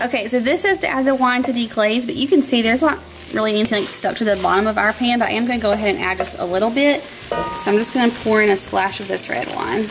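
A woman's voice: on the high side, fast talker, noisy background. A woman in her thirties hosting a home cooking vlog in a chatty, informal way, narrating casually as she goes. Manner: chatty, informal, laughs easily. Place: home kitchen.

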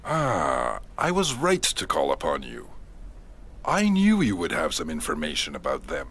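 A man's voice: very deep voice, huge pitch swings, measured pace, a bit bright, heavily acted. A man voicing a grave, middle-aged fatherly king in a fantasy game, formal and regal. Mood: concerned. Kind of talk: video game voice acting.

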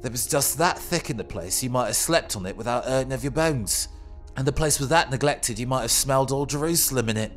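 A rough Victorian London carter, acted by a man in an audio drama, with a Cockney accent and a medium pitch. He chatters in colourful, emphatic slang over faint music. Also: a little fast, natural voice. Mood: spooked, gossipy. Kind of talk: character acting in audio drama.

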